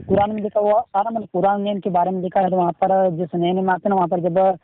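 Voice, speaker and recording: High-voiced man on a tinny phone line. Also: flat delivery, quick pace, no echo. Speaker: caller being questioned. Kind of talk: halting conversational debate.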